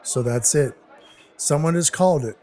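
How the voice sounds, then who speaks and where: A man's voice: on the high side, normal speed, dark tone, lightly acted. A man playing a brash, wisecracking dwarf who is Santa's helper, narrating and acting in an audio play with blunt, wry phrasing. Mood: exasperated. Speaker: narrator and lead character.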